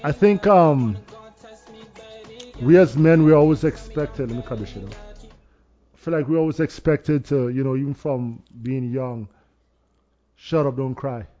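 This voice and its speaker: A man's voice: mid-range voice, unhurried, pauses often, warm tone, dull audio, soft background music. A man hosting a casual podcast, asking teasing, probing questions. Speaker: podcast host.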